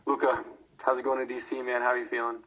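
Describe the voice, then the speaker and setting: A man's voice: mid-range voice, monotone, fast, fairly bright tone, lo-fi sound. A man hosting a casual sports podcast after a game, sounding drained and dejected. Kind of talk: casual post-game talk.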